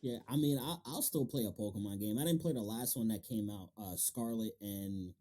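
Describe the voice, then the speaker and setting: Male interview host, relaxed dark timbre, emphatic and slangy, curses freely in loose conversation. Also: lowish voice, brisk. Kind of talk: casual, profanity-heavy podcast chat.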